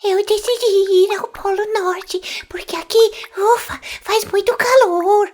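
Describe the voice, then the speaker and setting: A performer voicing a timid, curious little female companion in a children's cartoon, with a childlike, drawn-out delivery. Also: very high-pitched.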